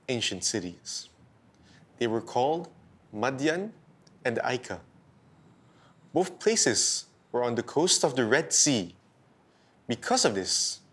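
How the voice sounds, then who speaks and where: A man hosting and narrating a scripted bedtime story for children in a deliberate, expressive storytelling style. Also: mid-range voice, breathy edge. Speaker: storyteller host.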